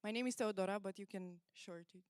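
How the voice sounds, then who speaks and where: A woman's voice: mid-range voice, a little fast, smooth, no room sound, studio quality. Playful, chatty woman chairing a meeting. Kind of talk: self-introduction at a meeting.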